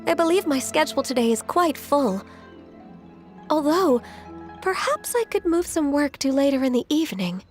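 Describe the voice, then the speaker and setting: Polite, high-voiced young secretary. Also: female voice, expressive, moderate pace, a little mellow, soft background music. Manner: courteous, earnest, apologetic. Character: polite, dutiful young female secretary, gentle disciple. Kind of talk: video game acting.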